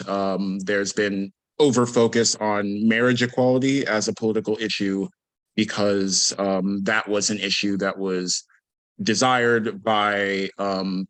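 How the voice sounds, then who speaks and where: Low-voiced man explaining a concept. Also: a bit bright. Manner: measured, hesitant with many ums. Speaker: guest author. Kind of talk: academic explanation.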